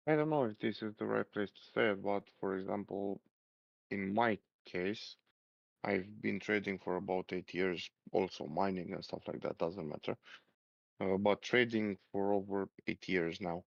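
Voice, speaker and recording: Man, lowish creaky voice, halting casual storytelling, repeats phrases for emphasis. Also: dry recording. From Romania. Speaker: trader taking part in a group voice chat. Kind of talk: casual chat, personal anecdote. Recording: dull, lo-fi voice chat audio.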